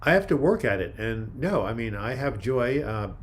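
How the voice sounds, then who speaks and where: An older man hosting a casual live chat, reading viewer comments in a calm, soft-spoken way with frequent restarts. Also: mid-range voice, normal speed. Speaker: livestream host.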